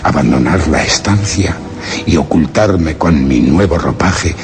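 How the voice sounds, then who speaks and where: A man's voice: rumbling low, a little slow, music in the background. An actor playing a solemn, wondering explorer who narrates his journal in a radio drama, grave and theatrical. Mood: deliberate, scheming. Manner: grave, literary, theatrical, with rhetorical questions. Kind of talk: Spanish radio drama suspense monologue.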